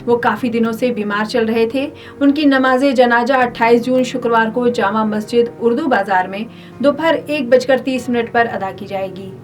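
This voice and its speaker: Female news presenter, high voice, scripted bulletin delivery with music underneath. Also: moderate pace, recorded from a distance.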